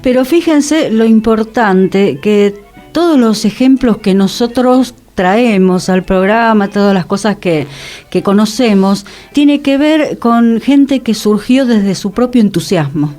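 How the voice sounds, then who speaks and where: A woman with an Argentine accent speaks at a medium pitch in a radio discussion. Her delivery is conversational and explanatory, as a panelist laying out background for the others. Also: animated, takes it slowly, warm tone, soft background music.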